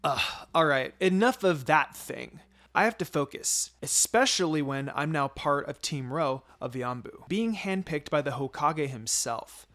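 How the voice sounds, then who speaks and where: A man reading a first-person story aloud in an expressive, playful way. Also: a little high, a little slow. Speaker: fan fiction reader.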